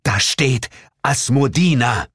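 A man's voice: medium pitch, animated, slow, fairly bright tone, breathy edge, dry recording, clean studio sound, pitched above the performer's own voice, exaggerated voice. An irritable, insistent ghost hunter from a comic horror audiobook, acted out by a man. He growls in exasperation, his voice lifted above the narration. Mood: exasperated.

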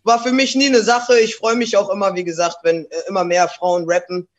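A woman's voice: medium pitch, a little fast, highs cut off. Woman rapper, interview guest; laid-back, slangy, code-switches. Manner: relaxed, slangy, mixes in English phrases. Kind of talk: casual interview chat.

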